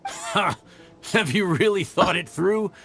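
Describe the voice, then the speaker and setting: A man's voice: high voice, slow, sharp tone, faint music behind, full character voice. An actor playing a cocky, threatening male mercenary in a video game, taunting and smug in manner. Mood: smug, menacing. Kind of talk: scripted video game dialogue.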